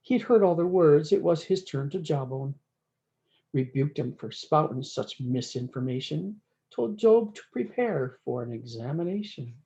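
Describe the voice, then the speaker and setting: Mellow woman reading a folksy storybook, muffled. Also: deep voice, expressive, vocal fry. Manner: folksy, drawn-out emphatic words. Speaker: storyteller reading a picture book. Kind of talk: rhyming cowboy-dialect storybook read-aloud with brief asides.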